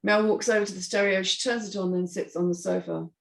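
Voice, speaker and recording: A woman's voice: mid-range voice, fairly even intonation, fast talker, creaky, reverberant. A woman narrator reading screenplay stage directions in a scripted style.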